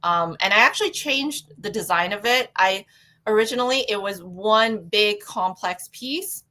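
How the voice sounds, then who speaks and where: A woman's voice: medium pitch, expressive, a little slow, sharp tone. A young woman from Toronto, whose first language is Cantonese, talks casually as a podcast guest, thinking aloud with many fillers and restarts. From Toronto, Canada. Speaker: guest artist.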